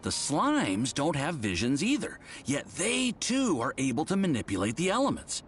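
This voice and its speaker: Eager, animated young research assistant. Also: male voice, fairly high pitch, moderate pace. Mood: thoughtful, keen. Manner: hesitant, deferential, bookish. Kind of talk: video game voice acting. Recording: music behind the voice.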